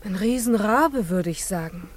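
An actress playing an uneasy, wondering wife in a radio drama, with an apprehensive manner. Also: female voice, mid-range voice, animated, moderate pace, lightly acted. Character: uneasy, wondering wife sensing something uncanny.

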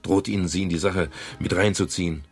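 Gravelly, very low-voiced world-weary detective. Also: male voice, fairly even intonation, brisk, faint music behind. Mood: urgent, pressing. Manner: dry, wry. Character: world-weary male private detective narrating his case. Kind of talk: 1970s German radio crime drama, first-person narration and dialogue.

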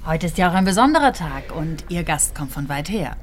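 An actress playing a polite, businesslike care attendant in a radio drama, with a courteous, brisk service tone. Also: female voice, low-pitched, very animated, slight background noise.

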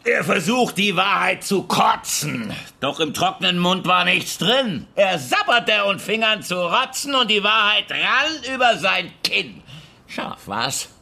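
Radio drama role: bitter, sarcastic militant man, forceful, highish voice, volatile delivery. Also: sing-song, unhurried, vocal fry, full character voice. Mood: sardonic, defiant. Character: angry, injured, defiant leftist militant man, mocking and bitter.

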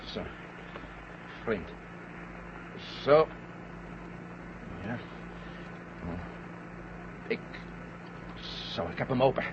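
An actor playing a cool-headed, sardonic astronaut in a 1970 science-fiction radio drama, speaking with dry sarcasm. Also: male voice, medium pitch, expressive, very slow, pauses often. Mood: matter-of-fact. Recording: muffled, noisy old radio drama recording.

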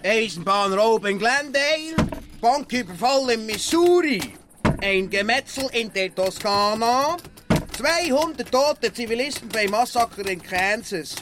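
A high-voiced male sheriff from a comic Western radio play. He is bossy and curt, handing out dictation with impatient, dismissive authority. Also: lively intonation, a little slow, noisy background. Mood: indignant.

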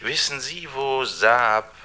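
An actor playing a secretive, agitated agent on a telephone in a German radio drama. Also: male voice, medium pitch, takes it slowly, sharp tone. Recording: distant telephone call, faint noise.

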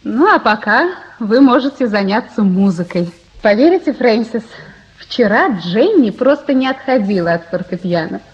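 A woman acting a genteel middle-aged hostess with a high voice. She is gracious and motherly, with theatrical old radio-play delivery on a slightly muffled recording. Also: lively intonation, normal speed, lightly acted. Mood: gracious, welcoming.

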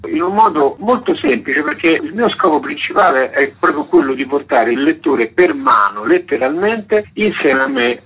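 Man, sharp husky voice, enthusiastic explainer, radio interview guest. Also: a little high, poor audio. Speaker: interviewed author and researcher of Rome's monuments.

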